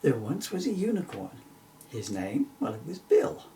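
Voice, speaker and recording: A man's voice: medium pitch, wildly swooping pitch, normal speed, dark tone, far from the mic, a little hiss or hum. A man reading a rhyming children's story expressively, as a storyteller.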